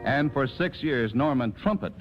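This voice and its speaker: A man narrating as announcer in scripted 1940s radio style, with dramatic, deliberate storytelling. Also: mid-range voice, animated, lo-fi sound. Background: music.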